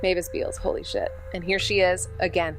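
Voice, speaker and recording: A woman's voice: on the low side, flat delivery, fairly quick, soft background music, close to an everyday voice, low-quality recording. A woman playing a tough, focused investigator in a noir audio drama, speaking tersely and with urgency. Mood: tense, focused.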